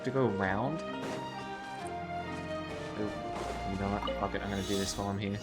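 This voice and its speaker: Casual man streaming over loud game music. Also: on the low side, fairly even intonation, normal speed. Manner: sweary, informal. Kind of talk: casual reaction chat while playing.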